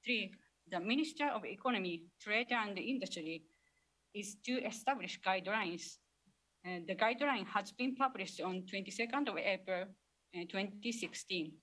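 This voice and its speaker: A woman judge from Japan, reading a prepared answer on a conference panel in a careful, measured way. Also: medium pitch, animated, fairly bright tone, rough recording.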